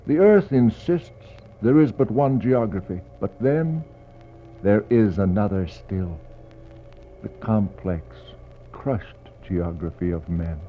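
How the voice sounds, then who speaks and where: An actor playing a brooding polar explorer in a 1960s poetic radio drama, speaking in a solemn, declamatory way with dramatic pauses. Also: male voice, fairly low pitch. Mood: yearning, intense. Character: brooding male polar explorer searching for a lost expedition. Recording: dull, noisy 1960s radio recording.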